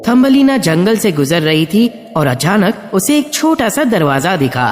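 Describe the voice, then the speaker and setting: A man's voice: high-pitched, big pitch swings, measured pace, in a large hall, music in the background. A man narrating a scripted fairy tale for children as a storyteller.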